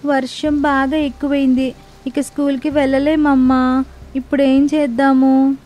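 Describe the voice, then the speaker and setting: A woman's voice: high-pitched, level tone, measured pace, warm tone, voice pushed up. A woman voicing an anxious, plaintive young schoolchild in a family story.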